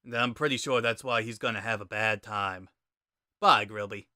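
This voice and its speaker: A man voicing a laid-back, wry skeleton older brother in a dramatic story reading, casual and deadpan. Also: lowish voice, moderate pace, no echo, clean studio sound. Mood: easygoing, wry.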